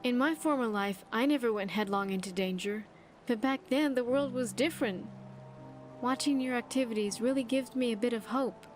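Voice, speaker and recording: A woman's voice: on the high side, normal speed, in a large hall, soft background music, lightly acted. A woman playing a wistful, earnest young vault survivor in a video game, speaking in careful, formal phrases. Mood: earnest, reassuring. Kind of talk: video game companion dialogue.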